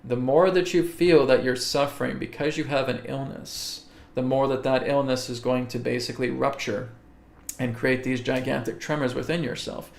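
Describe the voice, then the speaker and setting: A man hosting a live spiritual webcast, answering viewer questions slowly and deliberately and often checking in with "okay?". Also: mid-range voice, fairly even intonation, gravelly. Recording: echoey room.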